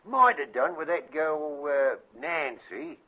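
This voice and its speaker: A man's voice: on the high side, expressive, slow pace, phone-quality sound. An actor playing a blunt, suspicious working-class man with an English accent and slangy speech in a radio drama. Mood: gossipy. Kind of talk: British radio drama acting. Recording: thin, band-limited old radio drama.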